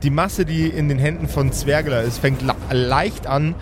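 A man's voice: fairly high pitch, moderate pace, noisy background. A man acting as game master, improvising narration and chatting casually with his players. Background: prominent background music.

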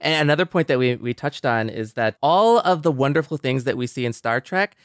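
A man's voice: mid-range voice, animated, fairly quick, dry recording, studio quality. A man co-hosting a podcast, talking in an earnest, conversational way. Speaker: podcast co-host.

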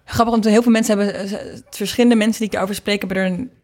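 A woman's voice: mid-range voice, steady tone, rapid-fire, a little mellow. A woman chatting casually in an interview, with an informal, rambling way of talking. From Netherlands. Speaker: singer and producer being interviewed.

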